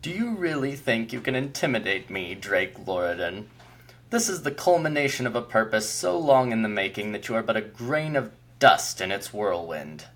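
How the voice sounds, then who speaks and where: An ancient, commanding keeper of mysteries, as a man reading the story puts him on, his words grave and measured. The voice sits low with a husky edge. He sounds haughty, as if nothing could threaten him. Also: sing-song, fairly bright tone, exaggerated voice. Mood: scornful. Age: older. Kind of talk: audiobook character voice.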